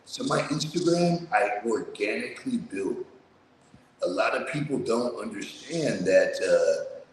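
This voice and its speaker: A man with a low voice telling his story in a casual, streetwise, blunt way, swearing freely, as an interview guest. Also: lively intonation, measured pace, heavy reverb, long reverb tail, a little hiss or hum, rough recording. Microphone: remote video call.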